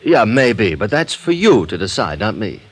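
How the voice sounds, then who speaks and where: An actor playing a bitter, weary television producer in a 1950s radio drama, blunt and sardonic. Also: male voice, fairly low pitch, sing-song, normal speed, husky. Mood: guarded.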